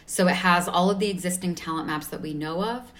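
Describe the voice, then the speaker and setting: A woman with a low voice answers questions as a guest. She is articulate and upbeat, laying out her points with open enthusiasm. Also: a little fast, fairly bright tone. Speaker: guest representing an advocacy organization.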